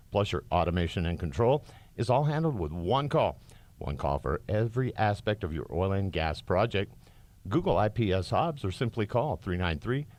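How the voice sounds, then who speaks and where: Low-voiced man reading an animated radio advert. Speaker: advert voice-over announcer. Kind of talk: scripted radio advert read.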